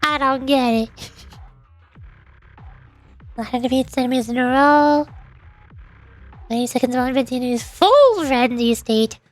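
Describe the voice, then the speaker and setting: A woman streams a game and talks casually as she goes. Her voice is high and playful, wandering between reading text aloud and rambling, with soft music in the background. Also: a little slow, pauses often. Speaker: VTuber streamer.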